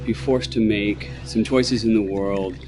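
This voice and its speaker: A man with a fairly low voice chats casually as he explains his work as a game developer. Loud guitar music plays behind the interview. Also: fairly even intonation, unhurried. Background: loud plucked guitar music.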